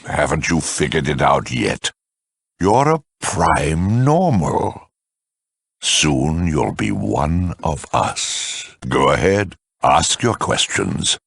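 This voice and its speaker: A huge mutant lieutenant, a video game villain with an extremely low, husky growl. He is eloquent, smug and zealous, swinging theatrically in pitch as he talks down to his listener. The sound is close and dry, with an air of polite menace. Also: big pitch swings, a bit bright, no room sound, heavily acted. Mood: smug, patronizing, then calm, lecturing. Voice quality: very deep, gravelly, rasping. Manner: condescending, eloquent, slow and deliberate.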